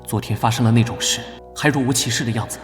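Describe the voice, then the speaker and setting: A man voicing a guarded, proud young knight vice-captain in an animated drama, serious and reserved. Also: medium pitch, fast talker, lightly acted. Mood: curt, guarded. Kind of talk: animated drama dubbing with inner monologue. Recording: long reverb, loud music behind. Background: music.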